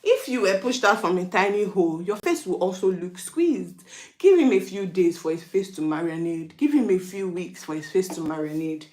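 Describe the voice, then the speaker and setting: A Nigerian-accented woman talks through her thoughts in a relaxed vlog monologue. She keeps asking rhetorical questions as she reflects aloud. Her voice sits a little low, and the recording carries a noticeable room echo. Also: moderate pace. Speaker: vlogger. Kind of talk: casual opinion monologue.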